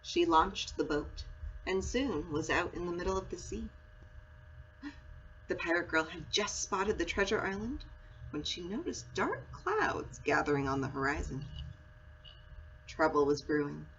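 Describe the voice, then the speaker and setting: A woman's voice: lowish voice, big pitch swings, unhurried, pauses often, a bit bright. Woman reading a storybook, slightly dull recording. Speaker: story reader, school read-aloud. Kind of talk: expressive children's storybook read-aloud. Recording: dull lo-fi sound with faint noise.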